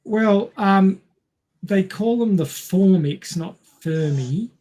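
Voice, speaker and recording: A man with a fairly high, rough-edged voice chats on a podcast panel. He is casual and wry, given to joking as he explains things. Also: expressive, slow pace, dark tone, low-quality recording. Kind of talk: casual chat.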